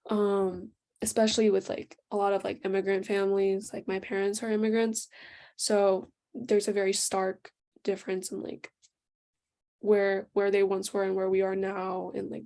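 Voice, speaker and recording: A teenage girl with a mellow, relaxed tone moderates a youth discussion. Her pitch is average for a woman, and she talks casually with plenty of fillers. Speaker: forum moderator.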